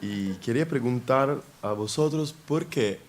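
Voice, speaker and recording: A man's voice: medium pitch, measured pace, faint music behind. A young man with an Italian accent, politely asking a question from the audience in Spanish and searching for words. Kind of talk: question from the floor in a colloquium.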